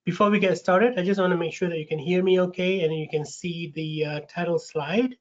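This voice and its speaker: A man with a slight rasp from a cold hosts an online info session, friendly, with lots of ums and restarts. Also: high-pitched, steady tone, fairly quick. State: getting over a cold, a bit raspy. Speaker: webinar host, recruitment manager. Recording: dull lo-fi webinar audio.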